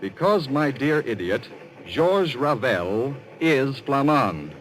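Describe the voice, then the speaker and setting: Animated, exasperated young man. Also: medium pitch, slow. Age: young adult. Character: earnest, exasperated young man caught in a murder mystery. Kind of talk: 1940s radio acting. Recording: noisy vintage radio recording.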